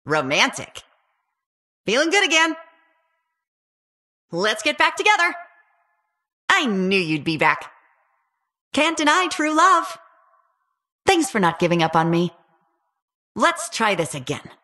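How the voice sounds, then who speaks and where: A lovestruck warrior heroine from a video game. Her voice is high and bright, and she takes her time over short, dramatic lines. The delivery is playful and flirty. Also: big pitch swings, slow pace, pauses often, full character voice. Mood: flirtatious. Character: playful, lovestruck winged female warrior hero.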